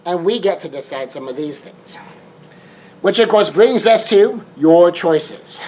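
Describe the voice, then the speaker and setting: A man's voice: sits high, poor audio. Emphatic man giving a motivational talk. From Southern California. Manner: repetitive, emphatic phrasing with varied tempo. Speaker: life coach.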